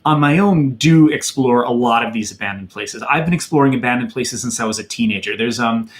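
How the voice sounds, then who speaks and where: A man's voice: mid-range voice, a little fast. A man in his thirties from Wisconsin with an American accent, chatting casually as he answers viewer questions on his livestream. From Madison, Wisconsin, USA. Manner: chatty and loose, many fillers, reads out viewer questions. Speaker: channel host answering chat questions.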